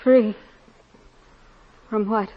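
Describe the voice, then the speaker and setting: A woman's voice: mid-range voice, fairly even intonation, extremely slow. An actress playing a devout, loving, steadfast young woman in an old-time radio drama, speaking with solemn earnestness. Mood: anxious. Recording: muffled, noisy old radio recording.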